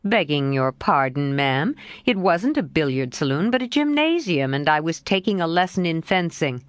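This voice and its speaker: A woman's voice: big pitch swings, moderate pace, no echo. A woman doing a teasing young man. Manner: lightly lowered, coaxing, playful.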